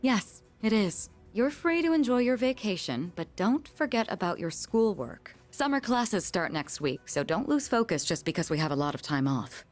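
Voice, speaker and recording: An actress playing a composed, studious student leader in a video game, formal and measured. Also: female voice, medium pitch, big pitch swings, faint music behind, close to an everyday voice. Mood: composed, firm.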